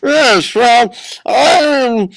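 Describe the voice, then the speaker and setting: A man voicing a timid caller with a drawn-out, wavering delivery in a radio play. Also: very high voice, gravelly, fairly bright tone, no echo, clean studio sound, full character voice. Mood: quavering.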